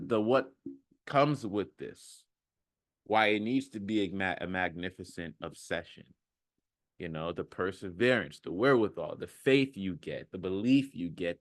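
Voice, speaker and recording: A man with a lowish voice talks straight to his audience in a dry, close recording. His delivery is a casual, earnest self-development monologue. He rambles in slangy phrasing and repeats his points to drive them home. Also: dry recording. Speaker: self-development video creator. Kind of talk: casual motivational monologue to viewers.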